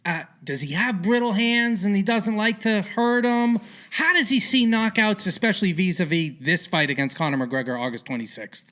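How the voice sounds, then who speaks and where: A man's voice: high voice, moderate pace, hardly any pauses, fairly bright tone. A man hosting a sports podcast, asking rambling, opinionated questions in an informal interview style. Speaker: podcast host interviewing a guest.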